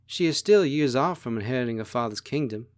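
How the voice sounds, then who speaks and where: Man voicing a soft-spoken, gentle court lady. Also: mid-range voice, expressive, fairly quick, no echo, lightly acted. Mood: gentle pleading. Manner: soft, sweet. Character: gentle, sweet, protective woman at royal court.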